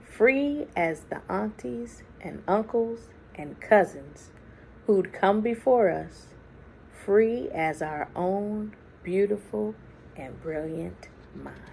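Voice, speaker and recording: A woman reading a children's story aloud expressively, with deliberate dramatic phrasing and hushed, drawn-out words. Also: medium pitch, lively intonation, vocal fry, poor audio. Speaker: children's book reader. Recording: faint background noise.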